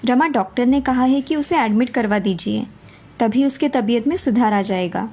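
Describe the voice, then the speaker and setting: Husky worried young mother, acted by a woman. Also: fairly high pitch, normal speed, darkish tone. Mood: subdued.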